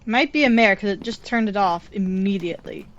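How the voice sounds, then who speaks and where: A woman's voice: mid-range voice, fairly quick. Woman in dull, lo-fi game chat. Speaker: co-player on a game stream. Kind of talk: casual gaming chat. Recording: dull lo-fi voice chat, faint noise.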